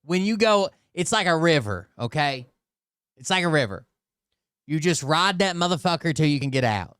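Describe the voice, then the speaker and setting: A man's voice: fairly high pitch, animated, normal speed, fairly bright tone, clean tone, dry recording, clean studio sound. A man giving casual, blunt and profane commentary during a live stream.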